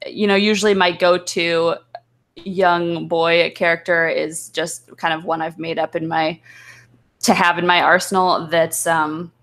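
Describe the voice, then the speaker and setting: A woman's voice: on the low side, level tone, unhurried, a bit bright. A woman guest chatting casually and a little hesitantly, thinking aloud as she explains. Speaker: voice actor, guest. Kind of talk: casual chat.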